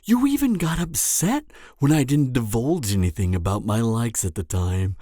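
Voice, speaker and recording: A man's voice: medium pitch, lively intonation, dark tone, no echo, studio quality, close to an everyday voice. Man playing a theatrical, swooping cannibal killer. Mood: sinister, savoring. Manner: theatrical, drawn-out phrasing with frequent chuckling. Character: gleeful, gloating cannibal serial killer man, refined and theatrical. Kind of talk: roleplay audio drama monologue to the listener.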